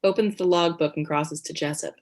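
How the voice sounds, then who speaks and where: Stage directions reader, female: low voice, scripted narration between lines of dialogue. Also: a little fast, a little mellow.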